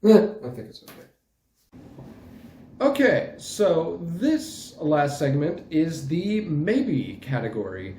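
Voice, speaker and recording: A man's voice: on the high side, very animated, slow, dark tone, reverberant. A man giving a casual, unscripted update as a channel host, talking in a hesitant, rambling, self-deprecating way.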